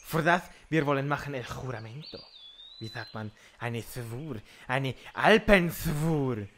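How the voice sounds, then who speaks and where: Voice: medium pitch, lively intonation, fairly bright tone. Solemn Spanish-accented witch played by a man. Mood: calm, solemn. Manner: deliberate, broken syntax. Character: Spanish-speaking revolutionary witch, calm and solemn. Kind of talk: comic audio drama acting.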